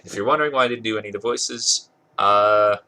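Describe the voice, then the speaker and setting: A man's voice: on the low side, fairly quick, pushed and bright, rough recording. A man with a congested voice doing an amused dramatic reading of a comic story, stumbling over words now and then and correcting himself. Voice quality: husky, congested. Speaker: podcast host reading fan fiction aloud.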